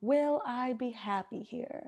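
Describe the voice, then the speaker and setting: A woman plays an earnest young wife type, new to the asylum. The voice is fairly high, effusive and halting, in an improvised comedy scene. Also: creaky, dark tone, husky, exaggerated voice. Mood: anxious, insistent. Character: eager, earnest wife newly sent to asylum.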